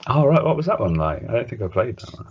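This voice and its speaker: A man's voice: medium pitch, big pitch swings, quick pace, husky. A man co-hosting a podcast in casual, hesitant, informal chat, often tagging questions onto his points. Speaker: podcast co-host. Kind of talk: casual chat about retro cartoon video games. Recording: dull, muffled lo-fi audio.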